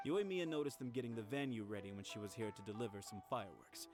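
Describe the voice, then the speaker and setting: A man voicing a polite, helpful festival official in a video game, measured and explanatory. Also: lowish voice, lightly acted. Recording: clear music behind the voice.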